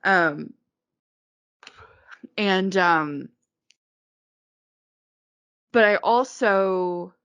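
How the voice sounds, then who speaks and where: A woman's voice: mid-range voice, very slow, pauses often, fairly bright tone, clean tone, dry recording, low-quality recording. A woman being interviewed as an author, answering in a rambling casual way full of "like" and restarts, hiccuping now and then.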